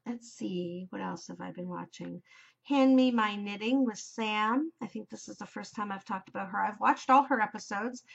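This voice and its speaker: Loud, upbeat woman knitting vlogger. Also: a little high, vocal fry. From Canada. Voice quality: loud, enthusiastic. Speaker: knitting podcast host. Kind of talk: casual chat. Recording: slightly dull home recording.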